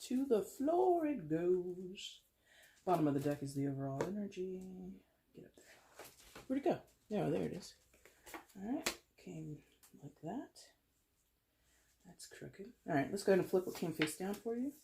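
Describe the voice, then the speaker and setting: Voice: mid-range voice, very animated, measured pace, long pauses, noisy background. Congested tarot reader with a head cold. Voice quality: dry throat, clears it often. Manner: chatty, rambling, self-correcting with small laughs. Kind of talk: casual tarot reading to camera.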